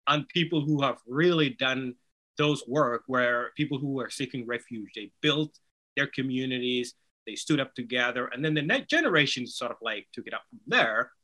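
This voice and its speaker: Man, bright forceful voice, earnest, persuasive, interview guest. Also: medium pitch, animated, moderate pace. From Somalia. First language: Somali. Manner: earnest, persuasive, rhetorical "right?" tags. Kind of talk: conversational interview answer.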